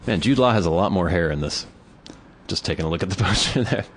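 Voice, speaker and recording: A man's voice: deep voice, lively intonation, quick pace. A man co-hosting a podcast, chatting casually and wryly, often laughing. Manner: wry, rambling, chuckles often. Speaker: podcast co-host. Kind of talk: casual chat about movies.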